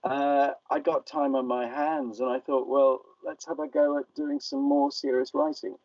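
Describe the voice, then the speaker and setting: An older man giving a casual, reflective interview as a playwright, talking in a halting, self-effacing, wordy way. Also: medium pitch, steady tone, a little mellow, no room sound. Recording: dull, muffled, distant radio-like sound.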